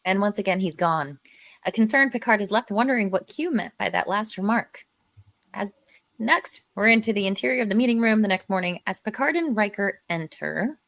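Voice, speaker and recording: A woman's voice: medium pitch, animated, brisk, vocal fry, fairly bright tone. A woman narrating stage directions informally for a live script read.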